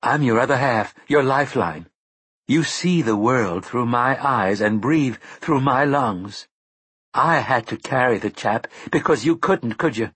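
A man's voice: medium pitch, measured pace, fairly bright tone, slightly rough, no room sound. A man voicing a tough, determined woman who questions bluntly and presses hard. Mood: pressing.

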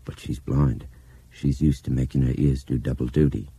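A man voicing a blunt, middle-aged Englishman with an English accent and a husky voice. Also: very deep voice, little pitch movement, normal speed, dark tone, exaggerated voice. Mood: muttering.

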